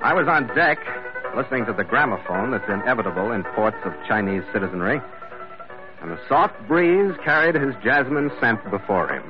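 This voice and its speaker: A man's voice: mid-range voice, sing-song, brisk, lightly acted. Man as wry sea captain, muffled old radio. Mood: wry narration. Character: seasoned sea captain narrator, wry and easygoing. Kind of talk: 1940s radio drama, scripted first-person narration. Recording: muffled vintage radio recording, loud music.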